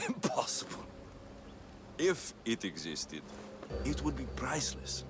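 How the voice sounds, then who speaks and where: An actor playing a cynical young mercenary in a dramatic video game, with a blunt, sardonic manner. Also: male voice, medium pitch, huge pitch swings, slow pace, over music. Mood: skeptical. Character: gruff, cynical young male Greek mercenary.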